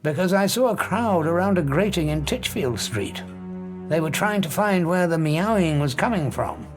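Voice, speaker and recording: A man's voice: sits high, animated, normal speed, music in the background. An actor playing an obsessive, cold scientist who tells his story with theatrical, savouring relish in an audio drama. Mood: cold, rapt. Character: obsessive scientist, invisible man, darkly exultant and cold.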